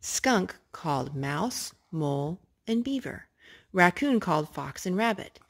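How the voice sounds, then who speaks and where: A woman reading a picture book aloud to a young child, gently asking him questions between pages. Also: on the low side, lively intonation, unhurried. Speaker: storyteller.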